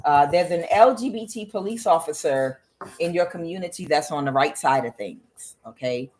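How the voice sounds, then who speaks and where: A woman doing a tarot reading on her own. She talks bluntly and swears often, questions the cards aloud, and fills her pauses with "uh". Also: low voice, darkish tone. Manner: blunt and profane, with frequent "uh" fillers. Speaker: tarot reader. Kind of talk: solo tarot reading to camera.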